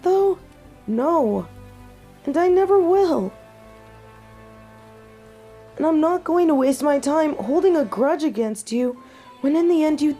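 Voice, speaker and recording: A young woman playing a devoted half-wolf heroine in a romantic audio drama, speaking earnestly and tenderly with halting pauses, as if to one loved person. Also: high voice, dark tone. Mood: hurt, earnest. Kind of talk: romantic audio roleplay acting, intimate one-sided dialogue.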